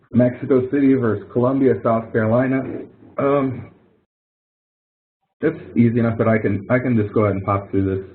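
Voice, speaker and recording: A man's voice: fairly low pitch, slight background noise. A man leading an online help session as instructor, explaining things step by step in an informal, folksy way. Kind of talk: casual screen-share walkthrough of a spreadsheet exercise. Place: online web conference. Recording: muffled narrow phone-like audio.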